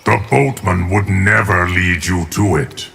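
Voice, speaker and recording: A steadfast, stern guardian from a video game, speaking solemnly. His voice is low and slow. It carries a long reverb amid loud noise. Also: creaky, over loud music. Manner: solemn, measured. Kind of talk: video game character dialogue.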